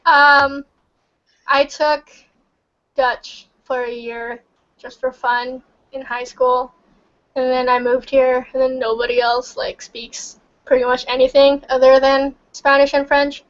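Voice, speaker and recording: A woman's voice: high voice, little pitch movement, takes it slowly. A young woman from Indonesia whose first language is Indonesian, chatting casually in a study group and filling her talk with "like" and "um". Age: young adult. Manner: chatty, many fillers like "like" and "um". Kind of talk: casual chat. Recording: dull lo-fi recording.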